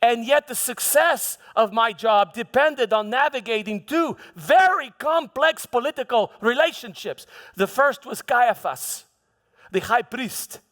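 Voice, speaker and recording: A man playing a proud, exasperated Roman governor in a theatrical first-person stage monologue, halting and repeating words for emphasis as he tells his story. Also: sits high, a bit bright. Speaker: church dramatist performing a monologue. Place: church hall before an audience. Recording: echoey church hall, music behind.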